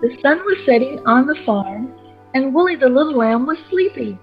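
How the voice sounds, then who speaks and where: A woman's voice: high voice, expressive, moderate pace. A woman hosting a children's bedtime story time, expressively narrating and speaking directly to young listeners. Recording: dull lo-fi sound with music.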